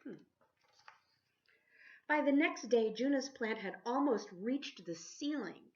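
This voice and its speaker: Female storybook reader, teacherly and animated, engages listeners with questions; lively pitch, slightly muffled lo-fi recording. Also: takes it slowly. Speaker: teacher reading a picture book aloud. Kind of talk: expressive children's storybook read-aloud. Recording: dull lo-fi sound.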